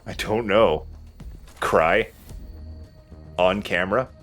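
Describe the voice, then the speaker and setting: A man with a fairly low voice gives casual, rambling game commentary. He jokes as he goes, and game music plays softly behind him. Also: slow pace. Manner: joking, rambling. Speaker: let's play host playing the game. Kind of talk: casual gameplay commentary chat. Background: video game music.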